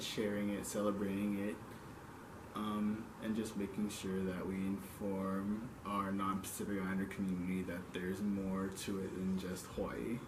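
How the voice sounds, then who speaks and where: Measured woman interviewee, flat pitch, echoey room with background noise.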